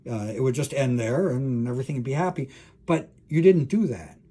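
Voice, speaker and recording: A man's voice: mid-range voice, fairly quick, dark tone, husky. A man lecturing as a professor, explaining technical material informally, thinking aloud with frequent hesitations and self-corrections and speaking directly to the listener.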